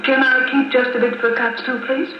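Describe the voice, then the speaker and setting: An actress playing a playful, adoring foreign sweetheart who speaks deliberately and coquettishly in a 1950s radio drama, on an old wire recording. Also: female voice, high voice, little pitch movement, lightly acted. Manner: coquettish, deliberate uncontracted phrasing. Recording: muffled, noisy, echoey 1950s wire recording.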